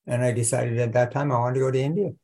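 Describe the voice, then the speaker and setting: An older man whose first language is Italian, a professor telling his life story on a video call in an unhurried, reflective way with soft laughs. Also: mid-range voice, fairly even intonation. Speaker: guest, religion professor. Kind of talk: casual conversation.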